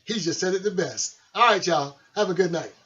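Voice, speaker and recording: A man on the higher side of the male range, hosting a radio show in a loose, chatty and friendly way. The recording is dull and lo-fi, with the top end rolled off. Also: fairly quick, sharp tone. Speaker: radio host and DJ. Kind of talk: casual radio show talk.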